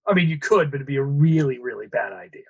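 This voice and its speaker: Lively breathy man. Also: mid-range voice, fast talker, lo-fi sound. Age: 30s. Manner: joking, crude, rambling. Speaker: podcast co-host. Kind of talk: casual banter podcast.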